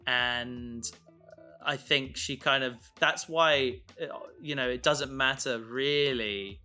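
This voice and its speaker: Guy co-hosting a casual discussion, bright crisp edge, kinda halting, bit of music behind him. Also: medium pitch. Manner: informal, halting, thinks aloud. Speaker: co-host, audio drama creator. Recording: music playing underneath.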